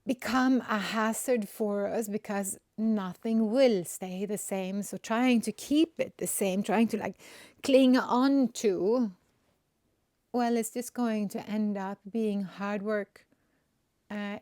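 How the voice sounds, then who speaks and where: Swedish-accented woman, spiritual coach; slow, warm, gentle and searching, dry close sound. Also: medium pitch, dry recording. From Sweden. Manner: gentle, searching, with restarts and soft fillers. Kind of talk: unscripted inspirational monologue.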